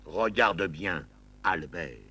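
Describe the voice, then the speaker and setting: An actor playing a stern, fussy but patient cartoon master, explaining things deliberately. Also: male voice, low-pitched, pushed and bright, husky, exaggerated voice. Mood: instructive. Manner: deliberate, instructive. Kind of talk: cartoon acting.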